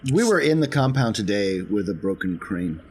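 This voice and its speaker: A man's voice: on the low side, sing-song, moderate pace, darkish tone, husky. A man playing a tabletop roleplaying game, improvising his character's actions and plans in casual talk.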